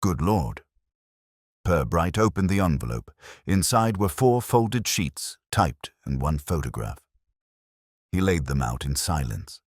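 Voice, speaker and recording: A very deep-voiced man narrates a detective story in a measured, literary way. His pitch moves freely as he goes, and the sound is dry and close. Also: big pitch swings, no room sound.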